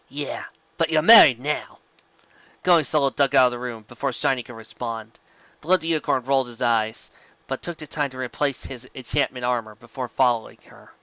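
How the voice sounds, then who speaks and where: A man's voice: medium pitch, pushed and bright, clean tone, dry recording, highs cut off. A man narrating a scripted piece of fan fiction as an amateur reader, now and then stumbling over words and rereading them.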